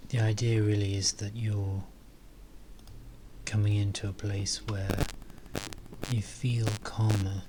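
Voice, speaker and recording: A man softly leading a guided meditation, calm and unhurried, with long pauses between phrases. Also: on the low side, heavy background noise.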